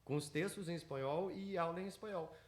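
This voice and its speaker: A man telling a lively anecdote on a school podcast. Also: high voice, fairly quick, reverberant. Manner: lively storytelling, animated quoting. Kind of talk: anecdotal casual chat.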